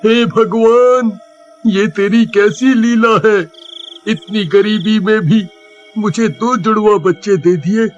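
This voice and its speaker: A man voicing a poor village father who talks slowly and with anguish. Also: high-pitched, lo-fi sound, soft background music. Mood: despairing.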